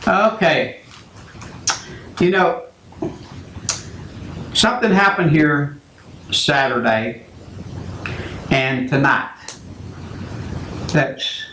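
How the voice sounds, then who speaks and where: A man hosting a call-in radio show, talking in a slow, halting, rambling way. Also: a little high, pushed and bright, echoey room, slight background noise, poor audio. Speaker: radio talk show host.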